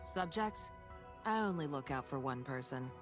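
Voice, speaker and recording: A low, sardonic young mercenary. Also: female voice, animated, normal speed, faint music behind. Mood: sardonic, defiant. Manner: dry, sardonic. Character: cynical, defiant young woman, independent mercenary type. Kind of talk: fantasy game voice acting.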